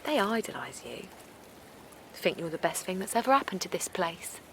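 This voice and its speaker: A woman's voice: on the low side, sing-song, moderate pace, creaky, a little hiss or hum. An actress playing a supportive, anxious woman in a naturalistic audio drama, speaking earnestly and plainly. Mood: warm reassurance. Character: Linda, a supportive, anxious woman and loyal friend. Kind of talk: naturalistic audio drama acting, with dialogue and narration.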